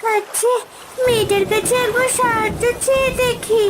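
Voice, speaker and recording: A woman's voice: very high-pitched, steady tone, moderate pace, noisy background. A woman voicing a small, distressed female fish, a pleading younger sister in a children's cartoon. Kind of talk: Bengali cartoon voice acting.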